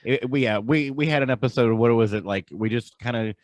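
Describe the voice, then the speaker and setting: A man's voice: medium pitch, quick pace, no room sound, clean studio sound. A man co-hosting a podcast, chatting casually in an informal, playful manner. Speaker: podcast co-host. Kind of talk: casual chat.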